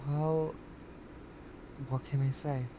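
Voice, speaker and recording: Slow, condescending actress as a smooth-talking recruiter. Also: female voice, low-pitched, flat delivery, mellow, slight background noise. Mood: coaxing. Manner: measured, condescending, deliberate. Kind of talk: audio drama acting.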